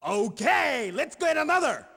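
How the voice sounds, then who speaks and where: A man voicing an excitable, exclamatory fishing guide who announces and cheers the player on in an arcade video game. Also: extremely high pitch, unhurried, gravelly, in a large hall, exaggerated voice. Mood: excited, cheering. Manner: exclamatory, theatrical.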